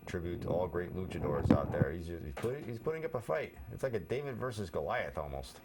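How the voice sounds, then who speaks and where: A man's voice: deep voice, very animated, fairly quick, heavy background noise. A man giving casual play-by-play commentary on a wrestling match, with dry joking asides.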